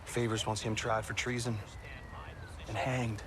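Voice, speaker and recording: An actor playing a cynical American army officer in a Western game, confiding in a matter-of-fact way. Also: male voice, lowish voice, flat delivery, measured pace, a crowd in the background, natural voice. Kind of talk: cinematic game acting. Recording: clear outdoor background noise.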